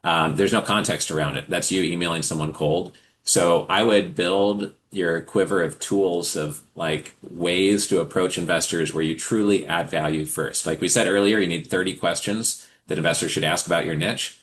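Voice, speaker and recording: A man's voice: low-pitched, fairly even intonation, moderate pace, fairly bright tone. A man hosting a live forum, answering questions in a casual, conversational advisory style and explaining with examples. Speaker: host of a live forum for investors and founders.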